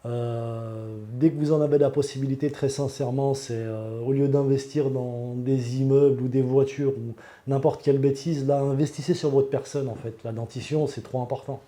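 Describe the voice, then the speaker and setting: A man's voice: medium pitch, fairly even intonation, normal speed, warm tone. A man, giving an unscripted testimonial as a patient in an earnest, emphatic way. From Lyon, France. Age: 40s.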